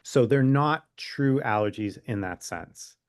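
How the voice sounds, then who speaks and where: A man's voice: medium pitch, a little slow, clear, resonant tone, no room sound, clean studio sound. A man speaking as a physician guest expert in an interview, explaining in an informal, conversational way with wry humor.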